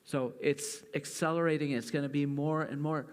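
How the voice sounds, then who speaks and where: A man moderating a conference panel, relaxed and lightly joking as he sets up questions. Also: on the high side, moderate pace, clear, resonant tone, in a large hall.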